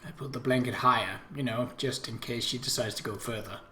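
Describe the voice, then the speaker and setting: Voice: medium pitch, steady tone, quick pace, bright, forceful tone, echoey room, a little hiss or hum. A game host giving casual, wry commentary and reading a visual novel story aloud, with hesitant asides. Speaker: let's play host.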